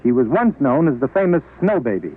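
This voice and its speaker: A man hosts a formal interview on vintage radio. His voice sits at a middle pitch and his phrasing is polished. The recording sounds tinny and narrow. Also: big pitch swings, a little fast, husky.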